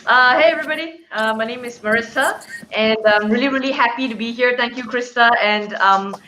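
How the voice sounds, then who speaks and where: A woman's voice: on the high side, brisk, bright, forceful tone, slight background noise. A woman introducing herself on a video call, hesitant with many fillers. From Malaysia. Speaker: founder and CEO guest. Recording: echoey, dull video call audio.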